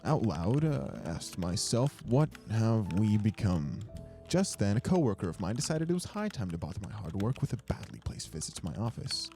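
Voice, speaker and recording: A man's voice: fairly low pitch, very animated, brisk, hardly any pauses, darkish tone. A man narrating first-person fiction from a script in a wry, world-weary way, as an amateur audiobook reader. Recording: music behind the narration. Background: instrumental music.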